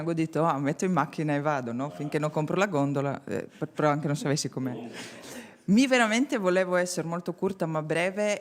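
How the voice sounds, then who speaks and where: A woman speaking informally as a guest, giving thanks and greetings. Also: lowish voice. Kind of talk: informal thank-you speech.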